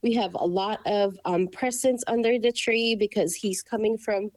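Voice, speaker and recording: A woman's voice: medium pitch, steady tone, normal speed, darkish tone, no echo. Woman, dry close sound. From Philippines. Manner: hesitant, many ums and restarts. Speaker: guest, coffee shop owner. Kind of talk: casual interview.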